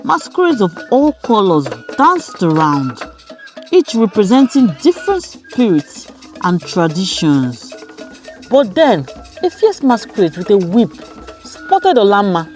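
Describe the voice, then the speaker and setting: Female storyteller for a scripted children's folktale, expressive range, faint music behind. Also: mid-range voice, unhurried.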